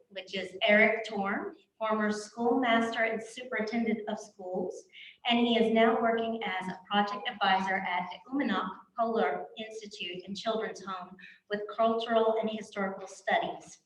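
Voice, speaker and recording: A woman's voice: medium pitch, fairly even intonation, normal speed, a bit bright. A woman hosting an event and introducing the next speaker, friendly and a little flustered, with many fillers. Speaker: event host. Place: museum lecture hall. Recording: echoey room.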